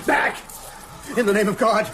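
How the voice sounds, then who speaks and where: An actor playing a frightened priest of wavering faith, strained and urgent, in a radio horror drama with a noisy soundscape. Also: male voice, high voice, level tone, moderate pace, natural voice. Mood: desperate defiance. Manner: strained, urgent, commanding cries.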